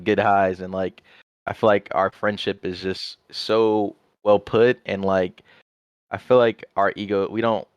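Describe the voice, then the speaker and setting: A man's voice: deep voice, clean tone, no echo. A young man, a guest pro player, chatting casually on a podcast and rambling with lots of "like". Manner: rambling, heavy use of "like". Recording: slightly muffled audio.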